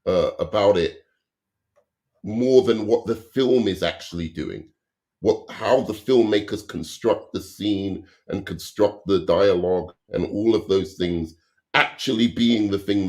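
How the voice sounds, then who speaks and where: Very low-voiced man losing his voice. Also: darkish tone. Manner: halting, many restarts and fillers; analytical, explains at length. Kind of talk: casual movie review debate.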